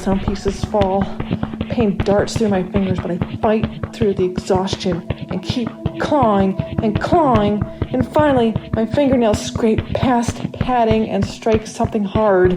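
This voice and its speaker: Horror audio story lead: woman playing a trapped accident victim, medium pitch, confiding, dramatic inner monologue, music underneath. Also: close to an everyday voice, poor audio. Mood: desperate, straining. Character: trapped narrator waking after accident, wry then panicked. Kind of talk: first-person horror story reading. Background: original music.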